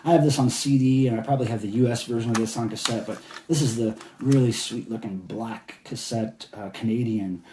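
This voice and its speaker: A man excitedly showing off his flea market finds in a rambling, casual vlog, speaking from behind the camera at a table. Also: medium pitch, a little fast, few pauses, echoey room, background noise. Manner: enthusiastic, chatty, rambling. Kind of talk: casual show-and-tell vlog. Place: indoors at a table of cassettes.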